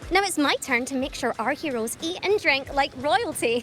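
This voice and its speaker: A woman's voice: high-pitched, animated, normal speed, a bit bright, slight background noise. An actress playing a bubbly, generous female gelato vendor in a video game. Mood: cheerful, grateful.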